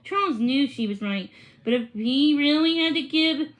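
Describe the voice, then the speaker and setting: A female reader telling a children's story aloud, expressive with dialogue and stumbling over words now and then. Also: high-pitched, low-quality recording. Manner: halting, stumbles and rereads words.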